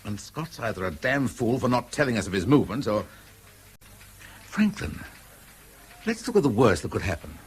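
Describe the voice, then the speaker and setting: An actor playing a gruff, domineering senior British detective in a radio drama, brusque and commanding as he reasons aloud. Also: mid-range voice, very animated, normal speed, pauses often, slight background noise, natural voice. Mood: suspicious, musing. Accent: British English.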